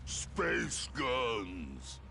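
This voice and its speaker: An actor voicing a hulking, dim-witted mutant brute in a video game, with a gravelly voice and halting broken speech. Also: male voice, medium pitch, huge pitch swings, raised voice, noisy background, full character voice. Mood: gruff, blunt. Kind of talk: video game cutscene acting. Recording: music behind the voice.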